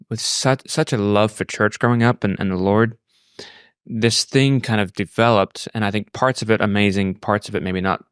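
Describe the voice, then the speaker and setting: A man in his thirties with an Australian accent, telling his personal story reflectively and haltingly, repeating words as he thinks. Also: on the low side, clear, resonant tone, dry recording, clean studio sound. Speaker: podcast guest.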